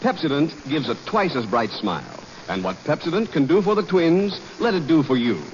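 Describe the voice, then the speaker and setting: Persuasive 1940s radio announcer man. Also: very animated. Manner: brisk, persuasive pitch. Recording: dull 1940s radio broadcast, faint hiss.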